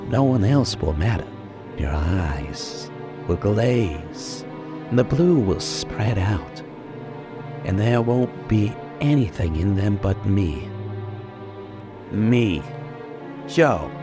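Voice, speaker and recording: An actor playing a lonely, menacing killer in a 1940s-style radio suspense drama, talking in slow, colloquial tough-guy speech. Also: fairly low pitch, heavily acted. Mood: obsessive, menacing.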